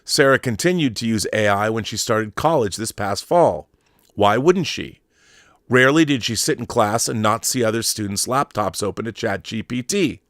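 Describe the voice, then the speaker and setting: A man's voice: on the low side, expressive, normal speed, fairly bright tone, no echo, clean studio sound. A middle-aged American man giving casual, opinionated commentary as a show host, rambling and emphatic, with some swearing.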